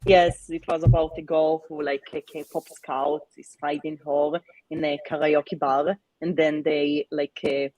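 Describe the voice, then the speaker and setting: A woman's voice: low voice, flat delivery, unhurried, dark tone, rough recording. A woman chatting casually on a group livestream in an effusive, enthusiastic way. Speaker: livestream participant. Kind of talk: casual chat.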